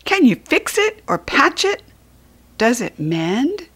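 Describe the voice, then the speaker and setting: A woman's voice: high-pitched, wildly swooping pitch, slow, a bit bright, husky, voice pushed up, full character voice. A woman voicing a grumpy, anxious little fox child in a storybook, asking plaintive, emphatic questions. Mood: anxious questioning.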